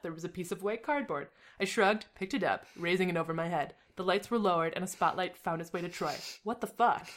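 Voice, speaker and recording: A woman reading a fan story aloud for laughs, with deadpan asides and frequent laughter. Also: fairly low pitch. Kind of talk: comedic dramatic reading with banter.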